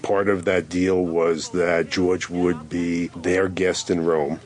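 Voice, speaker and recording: A man's voice: deep voice, flat delivery, slow, darkish tone. A man, a film producer, recalling events in a conversational interview.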